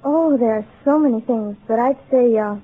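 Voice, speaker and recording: A woman's voice: on the high side, normal speed, breathy edge, faint music behind. A woman of about twenty-one with a Guatemalan accent, a native Spanish speaker, answering questions as a college student contestant. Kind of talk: interview answers. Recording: tinny, noisy old broadcast with audience.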